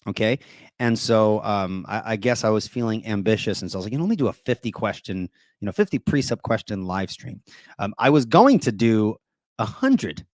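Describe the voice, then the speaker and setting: Soft-spoken man hosting a live stream. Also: fairly low pitch, animated, no room sound, clean studio sound. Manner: soft-spoken, deliberately quiet to save his voice; rambling with many fillers. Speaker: host of apologetics live stream. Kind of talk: casual live stream talk.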